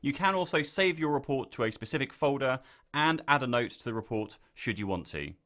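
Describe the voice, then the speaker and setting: A man reading a scripted software tutorial, giving clear step-by-step instructions as the narrator. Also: medium pitch, lively intonation, brisk.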